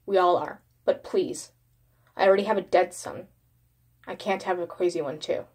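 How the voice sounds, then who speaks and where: A woman's voice: lowish voice, normal speed, darkish tone, husky. A woman reading a weary, grieving father who pleads sternly with his son in a horror story. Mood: pained, stern.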